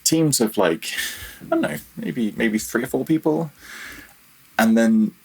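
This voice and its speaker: A man's voice: mid-range voice, measured pace, gravelly, a little mellow, husky, rough recording. A man speaking casually and reflectively as a podcast guest, hesitant and self-deprecating in how he phrases things. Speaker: podcast guest, freelance audio developer.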